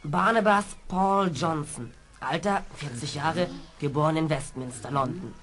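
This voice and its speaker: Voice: medium pitch, a little slow, fairly bright tone, lightly acted. A young performer playing an eager, good-natured adolescent boy in a children's radio play, sounding earnest. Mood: earnest, excited.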